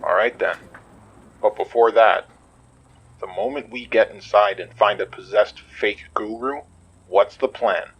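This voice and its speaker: A man acting as a blunt, wary and protective man in an audio drama, with a rough, gravelly voice. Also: lowish voice, very animated, a little slow, fairly bright tone, full character voice, rough recording. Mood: wary, questioning.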